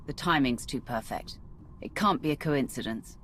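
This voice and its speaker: A woman's voice: a bass voice, animated, moderate pace, a bit bright, a little hiss or hum. A woman playing a ruthless military commander in a video game, speaking in a commanding, dryly sarcastic way. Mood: curt, businesslike. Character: ruthless, sardonic female tyrant commander. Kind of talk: dramatic video game acting.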